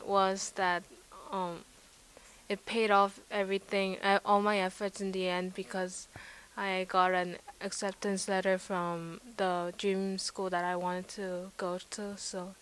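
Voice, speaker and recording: A woman's voice: mid-range voice, level tone, takes it slowly, a bit bright, smooth, rough recording. A young woman in her teens, a student, giving a hesitant interview answer.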